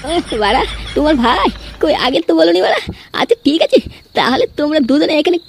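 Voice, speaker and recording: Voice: sits high, animated, fast talker, creaky, a little hiss or hum. An actor voicing a drunken, gullible, foul-mouthed village husband in a comedy cartoon, with coarse, slurred speech. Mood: drunk, groggy. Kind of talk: amateur comedy cartoon dub. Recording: music behind the voice.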